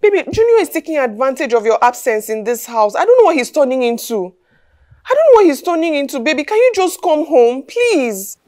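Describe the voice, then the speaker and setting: A woman's voice: sits high, sing-song, brisk, darkish tone. A woman acting a distraught, angry mother with a Nigerian accent in a film drama, scolding and pleading. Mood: distressed, pleading. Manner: scolding, pleading, repeats questions.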